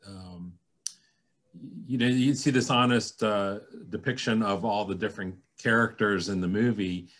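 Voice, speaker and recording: A middle-aged man giving earnest, halting praise on a panel over a video call. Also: on the low side. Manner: earnest, animated hand gestures, halting. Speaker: panel moderator at a museum. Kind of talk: panel discussion comment.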